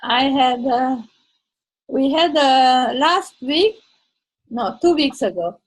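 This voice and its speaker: Older woman on a video call, high voice, talks slow, hunts for words. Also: expressive, slight background noise. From Transylvania, Hungary. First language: Hungarian. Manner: halting, searches for words, non-native grammar. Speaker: Toastmasters member and blogger. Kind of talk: casual video call chat.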